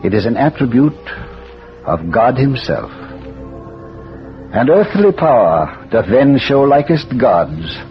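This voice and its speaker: Gently wry academic sleuth for 1940s radio: animated, measured, literary, on a dull vintage recording with music. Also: male voice, mid-range voice. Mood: solemn, reflective. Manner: hesitant, erudite, measured, given to recitation. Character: bearded scholarly schoolmaster and amateur sleuth, gently wry.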